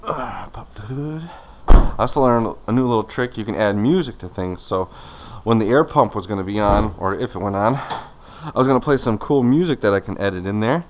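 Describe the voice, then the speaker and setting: A man's voice: fairly low pitch, expressive, moderate pace, dull audio, slight background noise. A man casually talking himself through a hands-on task as a vlogger, in short, loose, muttered phrases. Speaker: vlogger doing his own car maintenance.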